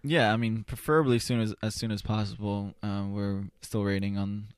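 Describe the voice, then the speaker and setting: A man's voice: on the low side, flat delivery, moderate pace, smooth, dry recording, clean studio sound. A man answering as a podcast guest in a casual, rambling, hesitant way with lots of "you know". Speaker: podcast guest, spoken word poet. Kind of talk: casual conversational interview answers. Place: indoor studio.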